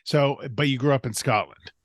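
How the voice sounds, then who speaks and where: A man's voice: mid-range voice, moderate pace, dry recording, studio quality. A man hosting a casual interview podcast, rambling with many restarts and fillers. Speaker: podcast host.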